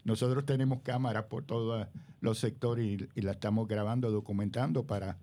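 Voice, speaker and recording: A man's voice: on the low side, fairly even intonation, a little fast, mellow, a little hiss or hum. Rambling older man. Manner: rambling, many hesitations. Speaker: community leader. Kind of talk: radio interview guest.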